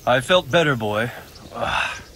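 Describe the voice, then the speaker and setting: A man playing a gruff, weary, wounded lord in a medieval video game. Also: mid-range voice, lively intonation, slow pace, pushed and bright, a little hiss or hum. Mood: weary, pained. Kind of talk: video game dialogue acting.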